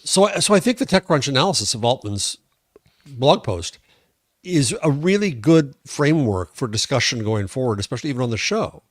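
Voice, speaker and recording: A man's voice: mid-range voice, animated, normal speed, dry recording. American man, dry close-miked podcast commentary. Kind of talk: conversational tech commentary.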